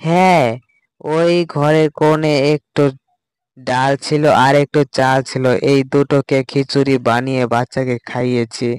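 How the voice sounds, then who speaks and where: A man's voice: mid-range voice, little pitch movement, a little slow, clean tone, no room sound, lightly acted. A man voicing a caring, nagging village wife in a cartoon story, homely and pleading. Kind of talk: amateur cartoon story dialogue. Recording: loud background music under voice. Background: music.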